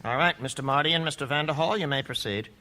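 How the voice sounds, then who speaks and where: A man's voice: fairly high pitch, background noise, lightly acted. An actor playing a firm, patient male judge who runs a courtroom hearing with authority. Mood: stern. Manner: authoritative, deliberate, emphatic. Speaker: judge presiding over a hearing.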